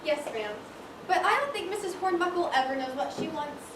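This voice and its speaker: A woman on stage playing an eager, polite and excitable young shop assistant. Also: extremely high pitch, brisk. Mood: deferential, chatty. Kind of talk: amateur stage acting, one-act school play. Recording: echoey stage, distant mic, clear noise.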